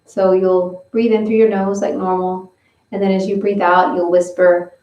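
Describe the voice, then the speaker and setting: A woman leading a guided breathing and stretching session, giving slow, gentle step-by-step instructions. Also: mid-range voice, flat delivery, distant mic, a little hiss or hum. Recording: roomy, from away from the mic.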